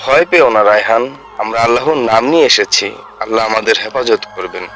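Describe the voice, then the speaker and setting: A man playing a calm, devout young village friend in a cartoon, speaking in a steady, reassuring way. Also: fairly low pitch, brisk, soft background music.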